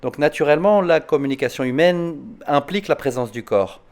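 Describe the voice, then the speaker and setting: A man speaking as a psychanalyst at an online conference, explaining in a hesitant, halting way, often repeating words. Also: a little high, expressive, darkish tone. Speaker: psychoanalyst answering conference questions.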